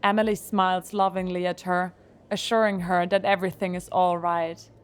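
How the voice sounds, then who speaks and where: A woman reading the narration of an audio drama in a calm, even way. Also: on the low side, normal speed, clean tone.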